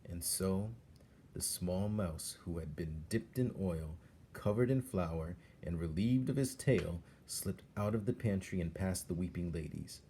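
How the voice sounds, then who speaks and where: A man's voice: sits low, expressive, unhurried, warm tone, a little hiss or hum. A man reading a storybook aloud as a dramatic narrator. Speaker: storybook reader.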